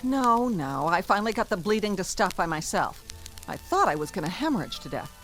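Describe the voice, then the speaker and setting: An actress playing a vain, nagging middle-aged wife, sarcastic and belittling, in a radio drama. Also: female voice, medium pitch, very animated, fairly quick, over loud music, close to an everyday voice, rough recording. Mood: irritated, complaining.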